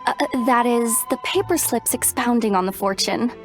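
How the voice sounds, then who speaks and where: A woman's voice: a little high, moderate pace, over music, lightly acted. A woman playing a polite, apologetic young shrine attendant in a video game, formal and slightly flustered.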